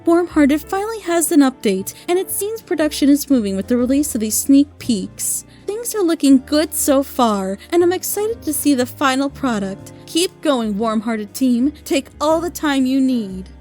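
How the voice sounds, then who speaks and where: A woman hosting a scripted review show, reading her measured, carefully phrased critique and announcements as a voice-over. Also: sits high, very animated, mellow, soft background music. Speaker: host and reviewer of a monthly audio drama show.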